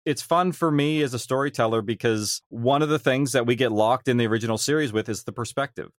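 A man's voice: medium pitch, quick pace, no room sound, studio quality. A middle-aged man speaking conversationally as an interview guest, explaining his creative work. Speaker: audio drama writer and producer, interview guest.

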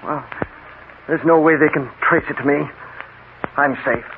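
An actor playing a nervous, guilty photographer turned thief in a 1940s radio drama, anxious and defensive. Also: male voice, a little high, fairly even intonation, measured pace. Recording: tinny, faintly noisy 1940s radio recording.

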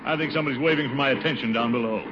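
A man's voice: mid-range voice, rapid-fire, natural voice. An actor playing a flustered, blustery middle-aged uncle and committee chairman in a theatrical old radio comedy. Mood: uneasy. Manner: theatrical, exclamatory, chuckling. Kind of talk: 1940s-50s radio situation comedy acting. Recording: noisy, muffled old radio recording.

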